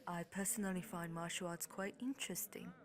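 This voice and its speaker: A woman doing a soft, gracious young noblewoman. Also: on the low side, fairly even intonation, brisk, lightly acted. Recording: crowd noise behind.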